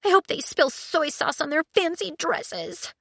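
A shrill, theatrical ship computer with a girlish voice, put on by a woman reading a story. Her pitch leaps very high and she talks loudly and brightly, squealing at every outrage. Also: measured pace, gravelly, no echo, studio quality, full character voice. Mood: excited. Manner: indignant, dramatic, squealing. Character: excitable shrill female ship AI.

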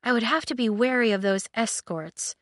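A woman's voice: fairly high pitch, normal speed, dry recording, clean studio sound, natural voice. A woman voicing a guarded young heroine who speaks tersely and wryly. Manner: wry, terse, muttering.

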